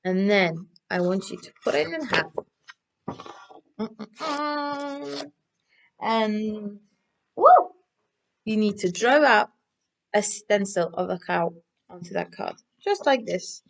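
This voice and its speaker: A woman presenting stories and crafts to young children in an animated, encouraging way, often asking them questions. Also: medium pitch, takes it slowly, gravelly, long pauses, rough recording. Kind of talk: interactive children's storytelling and instruction.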